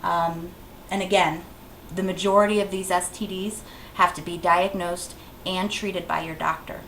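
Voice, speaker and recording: A woman's voice: fairly low pitch, fairly even intonation, moderate pace, fairly bright tone. A woman giving an informal, plainly instructive health presentation as a clinic educator, repeating key advice. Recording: roomy echo, faint background noise.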